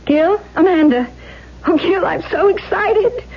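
High-voiced, earnest young actress. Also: female voice, unhurried. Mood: excited. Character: earnest, excitable young aspiring actress in love. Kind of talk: 1970s radio drama acting. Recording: muffled, noisy 1970s radio recording.

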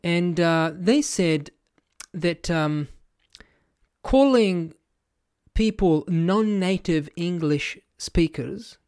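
A man's voice: high voice, animated, extremely slow, a little mellow, clear, resonant tone, dry recording, studio quality. A man with an Italian accent, a podcast host thinking aloud to his audience, hesitant, with many fillers and restarts. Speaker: podcast host and language educator. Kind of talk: casual reflective monologue to camera.

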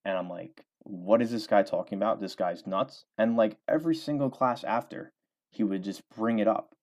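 Young male author, very low voice, loose rambling delivery with lots of ums. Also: normal speed, darkish tone. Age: 20s. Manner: rambling, many fillers and restarts. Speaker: author and former college baseball player. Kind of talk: casual interview answers.

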